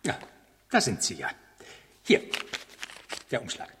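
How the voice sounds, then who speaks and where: An actor in a 1970s radio play voicing a flustered writer of pious books, halting and curt by turns. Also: male voice, fairly low pitch, wildly swooping pitch, fairly bright tone, slightly rough, full character voice. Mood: bitter, curt. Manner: halting and stammering, turning curt and sarcastic when pressed. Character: flustered, devoted son, male writer of pious biographies. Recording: heavy noise, clicking typewriter sounds.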